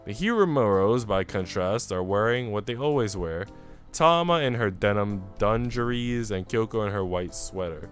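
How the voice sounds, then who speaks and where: A man's voice: lowish voice, animated, normal speed. A man streaming, reading a visual novel aloud with casual, chatty asides. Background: music.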